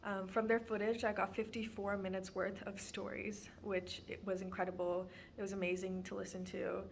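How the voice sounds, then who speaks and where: A woman's voice: medium pitch, level tone, normal speed, gravelly, a little hiss or hum. A young woman from Texas introducing her student documentary in an earnest, prepared way with frequent ums. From Houston, Texas. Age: young adult.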